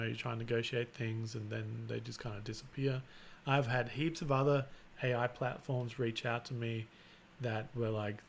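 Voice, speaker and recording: A man hosting a live stream, chatting casually in a flat, rambling way and often restarting his sentences. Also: mid-range voice, slight background noise. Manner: self-described monotone, rambling with restarts. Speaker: live-stream host and content creator.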